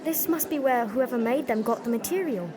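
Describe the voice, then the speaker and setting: A woman's voice: fairly high pitch, fairly quick, a crowd in the background, lightly acted. A woman acting a plucky, curious young girl in a workhouse for an audio drama. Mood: curious, determined.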